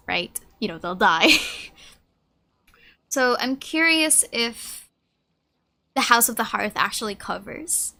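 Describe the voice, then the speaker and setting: A woman's voice: on the high side, pushed and bright. A woman streaming a game, chatting casually, musing and reacting aloud as she plays.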